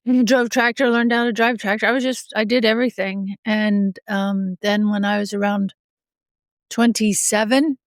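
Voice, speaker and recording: A woman's voice: mid-range voice, fairly even intonation, moderate pace, no echo, clean studio sound. Frank Australian-raised woman judge, sniffly. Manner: frank, often laughs softly, many fillers and restarts. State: getting over a cold, a bit sniffly. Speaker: judge, podcast guest. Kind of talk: candid interview talk, recovery story.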